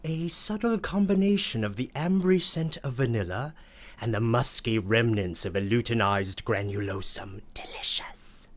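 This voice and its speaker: A man's voice: mid-range voice, natural voice. A man playing a prim, florid, girlish-minded aunt narrating a radio play in a pompous manner, savoring each word. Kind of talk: theatrical scripted radio play monologue.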